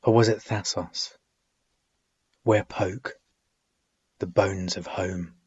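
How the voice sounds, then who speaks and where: A man giving a solemn poetry reading, deliberate and measured, with dramatic phrasing, long pauses and husky, breathy phrase endings. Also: sits low, steady tone, darkish tone, dry recording, dull audio. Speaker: poet reading own work.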